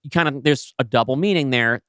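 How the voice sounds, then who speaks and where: A man's voice: mid-range voice, brisk, a bit bright, clean tone, no echo, studio quality. A man co-hosting a podcast, discussing things casually in an analytical, encouraging way. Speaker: podcast co-host.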